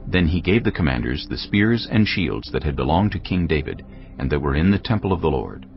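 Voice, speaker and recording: A man with a very deep voice narrates scripture in a solemn, measured way. His pitch moves expressively through the dramatic reading, over background music. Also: big pitch swings. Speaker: Bible narrator. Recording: dull, lo-fi audio with music.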